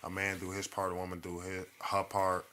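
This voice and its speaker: A man's voice: deep voice, completely flat delivery, moderate pace, pushed and bright, a little hiss or hum. A man co-hosting a casual podcast, talking in profane slang and teasing the others. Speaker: podcast co-host.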